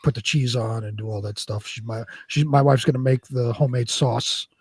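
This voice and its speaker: A man's voice: medium pitch, fairly quick, a little mellow, husky, dry recording. A man co-hosting a show in casual, conversational chat. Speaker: co-host.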